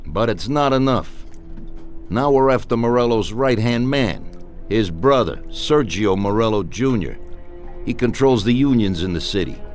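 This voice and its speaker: An actor playing a calm male crime boss who lays out plans and gives orders in a video game cutscene. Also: mid-range voice, a little slow, soft background music, lightly acted. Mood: commanding.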